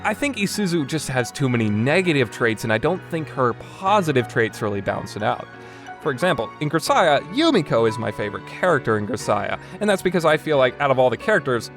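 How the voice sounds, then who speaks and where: A man hosting a casual, opinionated game review in a conversational, rambling way, often correcting himself as he goes. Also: mid-range voice. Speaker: podcast host and reviewer. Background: background music.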